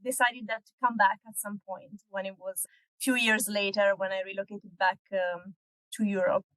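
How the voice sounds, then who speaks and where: Woman guest in her thirties, mid-range voice, measured explainer, dry recording. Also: mid-range voice, level tone, fairly bright tone, dry recording, low-quality recording. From northern Italy. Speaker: guest, executive search consultant. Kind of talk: casual interview chat.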